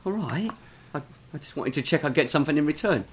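A man's voice: a little high, animated, slight background noise, close to an everyday voice. An actor playing a nervous drifter who stammers and halts as he recounts something horrifying. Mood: nervous, shaken. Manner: halting, stammering, frightened.

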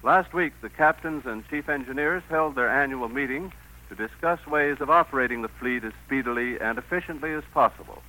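A man's voice: medium pitch, brisk, sharp tone, low-quality recording. An American man reading a scripted sponsor message in formal 1940s radio style. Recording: 1940s radio broadcast recording.

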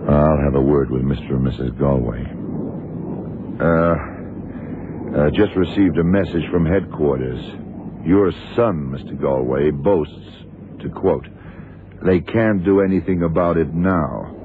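A man acting a calm, shrewd FBI agent in a radio drama, speaking in a measured, probing, matter-of-fact way. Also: extremely low pitch. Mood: firm, grim. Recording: tinny, narrow-band, noisy old radio recording.

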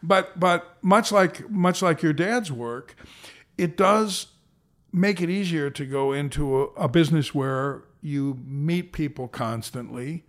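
Interview guest, man in his sixties, gravelly, rambling storyteller. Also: on the high side, takes it slowly, fairly bright tone. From American Southwest. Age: 60s. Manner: rambling, self-correcting anecdotes with playful voices. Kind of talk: reflective storytelling.

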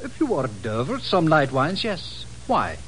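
High-voiced, earnest colonel. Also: male voice, huge pitch swings, a little slow, a bit bright, exaggerated voice. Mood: formal, earnest. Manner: formal, emphatic. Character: earnest, excitable Portuguese police colonel. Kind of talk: 1950s radio drama acting. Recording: old radio recording with hiss.

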